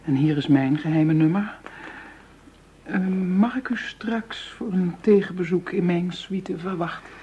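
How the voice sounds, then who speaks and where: An actress playing a cool, seductive dealer in shady money deals, poised and teasing, in a radio drama with some background noise. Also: female voice, lowish voice, unhurried, dark tone, close to an everyday voice. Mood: flirtatious.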